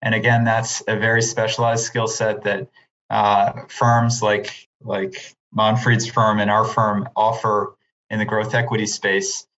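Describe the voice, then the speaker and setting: A man speaking as a guest on a business podcast, explaining his point in a measured way. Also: fairly low pitch, little pitch movement, fairly bright tone, lo-fi sound. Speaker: investment firm partner, panel guest. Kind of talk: measured explanatory interview answer.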